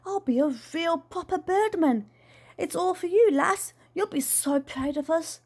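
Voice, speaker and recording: A woman's voice: very high-pitched, unhurried, a little mellow, pitched above the performer's own voice. A woman voicing a plump, childlike dad in a storybook, eager, playful and boastful about flying. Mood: excited, proud. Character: plump, excitable, childlike dad who longs to fly.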